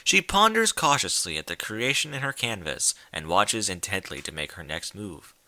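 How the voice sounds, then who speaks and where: A man narrates stories with theatrical, deliberate delivery. His voice sits a little low yet is strikingly bright and forceful, with lively swings of pitch. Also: no echo. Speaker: story reader. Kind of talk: dramatic scripted narration of fan fiction.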